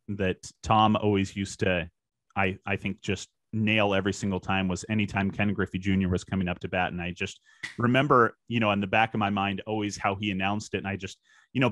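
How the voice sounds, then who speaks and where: A man's voice: lowish voice, fairly bright tone, no room sound. A middle-aged American man talking casually and reflectively as an interview guest, often dropping in "you know". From US, born in California and raised in Washington state. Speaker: podcast guest, sports PA announcer.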